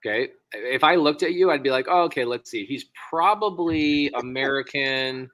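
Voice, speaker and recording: Energetic man hosting, bright and dry. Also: medium pitch, normal speed, no room sound, rough recording. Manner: energetic, enthusiastic, excitable. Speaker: host. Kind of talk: casual interview over a video call. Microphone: studio microphone, close.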